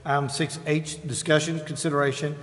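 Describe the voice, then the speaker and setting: A man's voice: a little high, little pitch movement, a little fast, fairly bright tone. A man chairing a formal meeting, reading out agenda items and calling for discussion in procedural language. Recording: echoey council chamber, faint noise.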